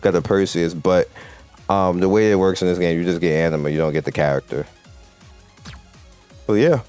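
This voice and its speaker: A man with a fairly low voice gives casual gameplay commentary. He speaks emphatically, circling back to hammer his points home, with faint music underneath. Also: normal speed, a little mellow, clear, resonant tone. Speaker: host of a mobile game video channel. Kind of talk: casual gameplay commentary, talking to viewers and developers.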